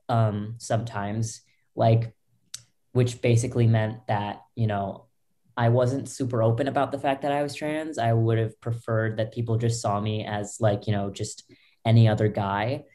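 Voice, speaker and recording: A young woman with a low voice, a podcast guest telling her own story in loose talk full of fillers and restarts, over a video call from a small bedroom. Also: dark tone. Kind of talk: candid personal story. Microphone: laptop webcam, video call.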